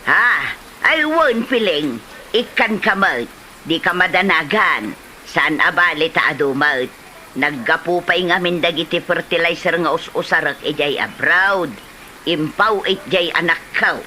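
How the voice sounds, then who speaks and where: Comedy drama: actress as gossipy Ilocano village neighbour, sharp bright tone, Filipino accent, slightly noisy sound. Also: female voice, lowish voice, lively intonation, measured pace. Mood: obliging.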